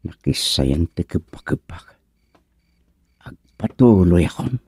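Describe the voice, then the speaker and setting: A man's voice: deep voice, animated, takes it slowly, a little mellow, soft background music, heavily acted. A man acting a bashful, nervous young suitor in an Ilocano radio comedy, stammering and exclaiming with an Ilocano accent. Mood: nervous, praying. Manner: stammering, exclaiming, muttering anxiously to himself.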